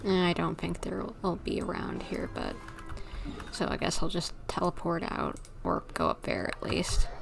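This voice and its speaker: A woman's voice: lowish voice, big pitch swings, moderate pace, vocal fry, music in the background. A woman livestreaming a game, giving casual commentary and thinking aloud informally as she plays.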